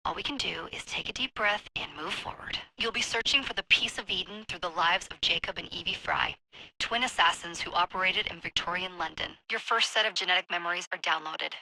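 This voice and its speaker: An actress playing a cautious, businesslike modern mission leader in a video game. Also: female voice, lowish voice, a little fast, vocal fry, noisy background. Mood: calm, instructive. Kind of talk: dramatic game dialogue.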